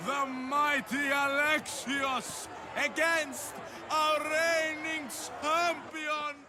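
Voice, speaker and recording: An actor playing a grandiose ancient Greek arena announcer in a video game, projecting ceremonially to a stadium. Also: male voice, very high voice, flat delivery, very slow, a crowd in the background, noisy background, exaggerated voice. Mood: showy, rousing.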